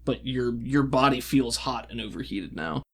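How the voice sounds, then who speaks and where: A game master narrating a tabletop game in an improvised, casual way, with halting asides. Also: medium pitch, gravelly, fairly bright tone, breathy edge.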